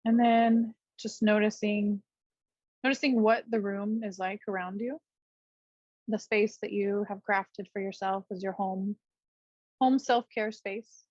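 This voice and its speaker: A woman teaching yoga and guiding a meditation in a gentle, unhurried way, leaving pauses between short phrases. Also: medium pitch, fairly even intonation, no room sound, lo-fi sound. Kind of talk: guided meditation, unscripted class instruction.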